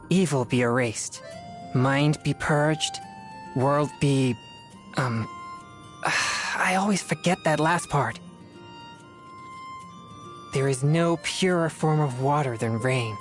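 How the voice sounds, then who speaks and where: A woman playing a solemn, disciplined teenage boy exorcist in a video game, with formal, measured speech. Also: extremely low pitch, soft background music. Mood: reciting, solemn. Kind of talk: scripted video game character voice lines.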